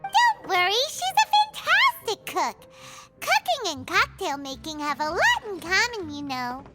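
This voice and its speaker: A woman voicing a tiny, excitable fairy-like girl companion who talks about herself in the third person. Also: extremely high pitch, unhurried, loud music behind the voice, full character voice. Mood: chirpy. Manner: bubbly, exclaiming, speaks of herself in third person. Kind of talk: game cutscene voice acting.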